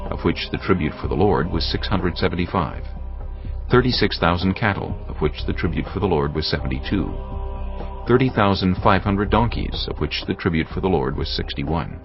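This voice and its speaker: A man narrating scripture in a solemn, measured, scripted dramatic style. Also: sits low. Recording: dull audio under prominent music. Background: music.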